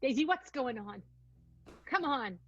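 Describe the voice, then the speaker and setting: An actress playing a guarded, wistful high-wire performer in an improvised comic radio soap, with melodramatic delivery and slightly dull sound. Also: female voice, expressive, unhurried, husky, heavily acted. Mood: guarded, exasperated.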